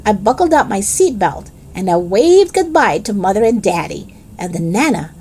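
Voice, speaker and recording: A woman's voice: mid-range voice, moderate pace. A woman reading a children's chapter book aloud, narrating expressively as a child in the first person. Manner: animated, dramatic character reading.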